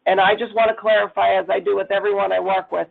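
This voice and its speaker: Hoarse raspy woman on a phone line. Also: medium pitch, flat delivery, quick pace. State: hoarse from a cold. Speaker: chief nursing officer presenting. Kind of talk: webinar presentation.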